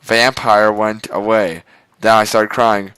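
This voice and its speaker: A man's voice: fairly low pitch, flat delivery, takes it slowly, a bit bright, clear, resonant tone, dry recording. A man doing a mock-dramatic amateur reading, stumbling over the words and breaking off for exasperated asides. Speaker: amateur reader of fan fiction.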